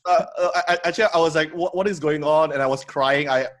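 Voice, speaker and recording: A man's voice: fairly high pitch, steady tone, fast talker, fairly bright tone. A man in his thirties from Indonesia, a guest telling his story in a casual interview, hesitant with many fillers and restarts. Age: 30s. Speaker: podcast guest, company co-founder.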